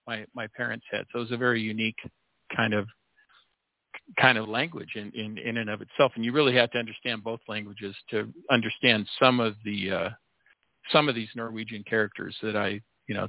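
Man in his sixties, interview guest, halting and rambling delivery, tinny phone line. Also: on the low side, gravelly, no room sound. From United States. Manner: rambling, many restarts and fillers. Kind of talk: casual interview chat.

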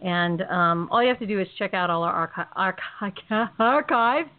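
A woman's voice: mid-range voice, expressive, normal speed. Chatty Californian woman host on a tinny line. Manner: chatty, rambling, laughs often. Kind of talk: casual podcast chat. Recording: tinny phone-line audio.

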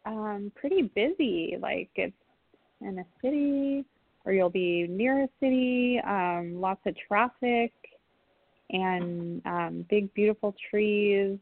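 A woman in her forties, a psychic medium guest giving live call-in readings in a chatty way, often pausing on fillers. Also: mid-range voice, vocal fry, no room sound. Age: 40s. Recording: tinny phone line.

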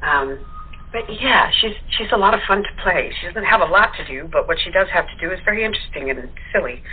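A woman with a husky voice chatting casually as an interview guest about her acting. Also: fairly low pitch, expressive, fast talker, slight background noise. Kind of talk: casual chat. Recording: tinny phone-line sound.

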